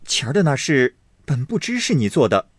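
A man voicing a pampered young nobleman in an audiobook reading of a classic novel, brisk and a little whiny. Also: medium pitch, very animated, slightly rough, no room sound, clean studio sound, pitched above the performer's own voice. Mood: petulant.

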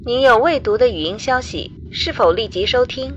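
High female spaceship computer voice, voiced by a woman. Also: animated, moderate pace, highs cut off, slight background noise, natural voice. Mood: neutral, formal. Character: spaceship computer voice announcing messages, female, neutral. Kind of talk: scripted radio drama system announcement.

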